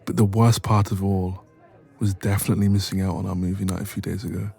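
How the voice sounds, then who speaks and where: A man's voice: sits low, fast talker, vocal fry, husky, faint music behind, full character voice. A man playing a playful, teasing young best friend in a romantic roleplay audio, chatting casually and intimately. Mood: warm, chatty. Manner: playful, teasing, expressive, soft laughs.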